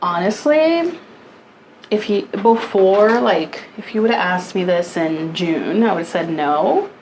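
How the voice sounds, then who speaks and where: A woman's voice: mid-range voice, lively intonation, slow pace, a little mellow, a little hiss or hum, low-quality recording. A woman in her early thirties co-hosting a podcast in casual chat, dry and wry, often asking questions. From United States. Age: 30s. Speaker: podcast co-host.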